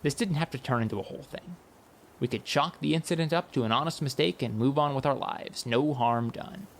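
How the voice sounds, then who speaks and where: Guy reading a funny fantasy audiobook, wry and expressive, bit of vocal fry, normal pitched voice. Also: a little fast, a little hiss or hum. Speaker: audiobook narrator. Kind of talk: first-person comic fantasy narration.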